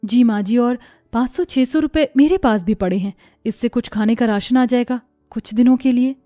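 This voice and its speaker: A woman voicing a gentle, dutiful young daughter-in-law in a cartoon, respectful and reassuring in manner. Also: a little high, moderate pace, no room sound, clean studio sound, soft background music, close to an everyday voice. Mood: consoling.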